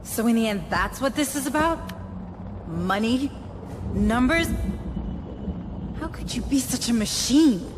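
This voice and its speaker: An actress playing a disillusioned, accusing colony administrator in a video game, speaking tersely. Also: female voice, on the high side, lively intonation, measured pace, pauses often, over music. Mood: indignant. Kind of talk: dramatic video game cutscene acting. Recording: long hall-like reverb.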